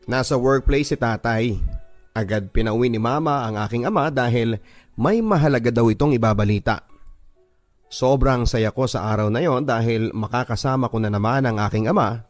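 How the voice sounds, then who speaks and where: Guy reading listener letters on the radio, earnest and measured, mid voice, quiet music under it. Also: medium pitch, dry recording. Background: soft music bed.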